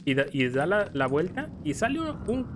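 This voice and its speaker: A man's voice: on the high side, sing-song, moderate pace, background noise. A young man with a Mexican accent chatting casually over gameplay, stammering and rambling as he tells stories. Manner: rambling, stammering storyteller who acts out scared quotes. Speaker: gameplay commentator. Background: game music.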